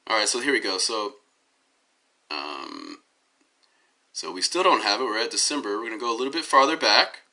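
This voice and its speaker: Man, hoarse from a cold, bright edgy voice, rambling phone commentary, lots of ums and restarts. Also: on the low side, very animated, moderate pace, pauses often. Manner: tangential, many fillers and restarts. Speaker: DJ giving his side of a dispute. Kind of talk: rambling on-camera commentary while browsing web pages. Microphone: phone held up at arm's length.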